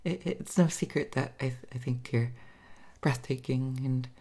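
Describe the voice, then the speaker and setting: A woman's voice: extremely low pitch, normal speed, dark tone, husky. A woman acting a shy, smitten, tipsy date in an intimate roleplay audio, stammering and giggling nervously as she fumbles her words. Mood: nervous, hesitant.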